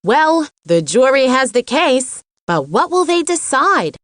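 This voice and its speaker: Eager cartoon TV reporter, presenterly, high and animated, dry studio-style sound. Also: female voice, slow, no room sound, heavily acted. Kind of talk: broadcast commentary.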